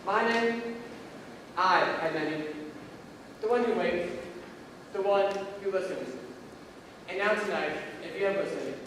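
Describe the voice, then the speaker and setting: A man's voice: high voice, a little slow, long pauses, a bit bright, distant mic. A man playing a solemn, mysterious narrator in a school stage play, in a noisy echoey hall. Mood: declaiming, grave. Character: solemn, mysterious narrator who waits and listens. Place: school stage, recorded from the audience.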